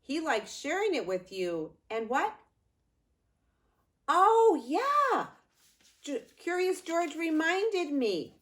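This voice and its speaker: Slow, animated grandmother reading a storybook. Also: extremely high pitch. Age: older. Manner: expressive, unhurried, addressing small children. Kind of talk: storybook read-aloud with playful asides to young children.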